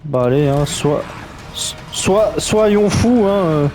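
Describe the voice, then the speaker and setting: A man's voice: on the high side, lively intonation, slow, darkish tone, faint music behind, rough recording. A man commenting on a game as he plays, in a chatty, joking, reactive way. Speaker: gaming streamer. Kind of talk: let's play commentary.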